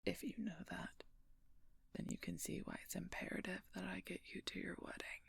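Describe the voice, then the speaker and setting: A woman playing a wounded, dutiful werewolf knight in an intimate audio roleplay, halting and weary, in a breathy, husky, gravelly whisper. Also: lowish voice, flat delivery, no room sound, heavily acted. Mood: earnest, wry. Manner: halting, weary, pained delivery. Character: wounded, dutiful female werewolf knight, wryly self-sacrificing protector.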